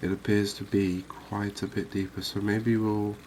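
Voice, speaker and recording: A man giving quiet, cozy ASMR commentary on a game, unhurried and thinking aloud. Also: lowish voice, flat delivery, slight background noise. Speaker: gaming video host.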